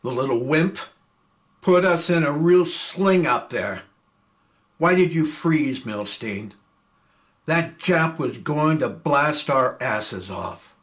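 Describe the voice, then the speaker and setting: A man's voice: fairly high pitch, expressive, slow, heavily acted. A man reading a play aloud, voicing a brash, boastful young male airman who bullies and taunts. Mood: taunting. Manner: loud, boastful, laughing.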